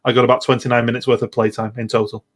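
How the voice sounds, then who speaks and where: A man's voice: mid-range voice, level tone, very fast. Man chatting casually, rambling and hesitant. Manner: rambling, hesitant, repeats words. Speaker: podcast host. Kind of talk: casual gaming podcast chat.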